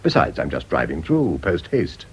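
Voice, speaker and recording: An actor playing a wealthy, well-spoken English gentleman, polite and evasive, in a radio drama. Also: rumbling low, huge pitch swings, normal speed, slightly rough, exaggerated voice. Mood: breezy, charming. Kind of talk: BBC radio drama acting. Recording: noisy radio drama recording.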